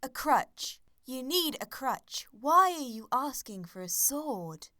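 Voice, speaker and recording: A woman acts a scornful noblewoman in a radio play. Her voice is high and bright, with slow, wide swings of pitch. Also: big pitch swings, no echo, clean studio sound, full character voice. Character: mocking noblewoman scolding her husband.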